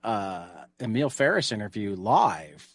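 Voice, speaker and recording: A man telling anecdotes in casual co-host chat, halting and repeating words as he starts. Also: lowish voice, sing-song, a bit bright, no echo. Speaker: podcast co-host.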